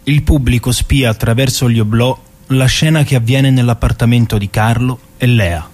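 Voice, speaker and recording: A man's voice: mid-range voice, normal speed, darkish tone, natural voice. A man reading scripted scene narration for a radio drama. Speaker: stage directions narrator.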